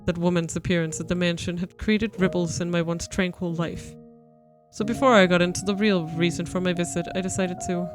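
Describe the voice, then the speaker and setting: A woman streamer reading a visual novel's narration aloud, steady and even. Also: on the low side, brisk, music in the background. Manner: steady narration with playful retorts.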